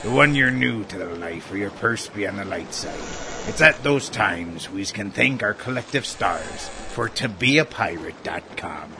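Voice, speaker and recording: An actor playing a gruff, swaggering pirate bosun in a comedy ad skit, talking in theatrical old-sea pirate speech. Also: male voice, fairly low pitch, animated, unhurried, hardly any pauses, background noise. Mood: hearty.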